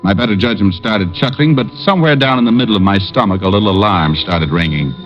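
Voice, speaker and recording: An actor playing a wisecracking private eye who narrates and quips in a dry, sardonic way, in 1950s radio drama style. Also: male voice, fairly low pitch, fast, a bit bright, natural voice. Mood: narrating. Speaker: narrator and lead. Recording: dull lo-fi old radio broadcast with faint music.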